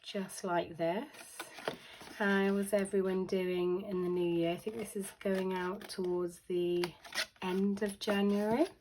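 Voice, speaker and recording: A British woman with a croaky voice hosting a casual craft tutorial, chatty and full of ums as she explains each step. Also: mid-range voice, little pitch movement, a little slow, noisy background. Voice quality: croaky, with a lingering cough. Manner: chatty, with frequent ums and self-corrections.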